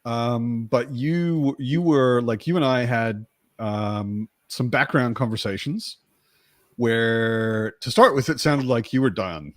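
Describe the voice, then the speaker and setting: A man's voice: medium pitch, takes it slowly, no room sound, studio quality. A man hosting a casual book discussion.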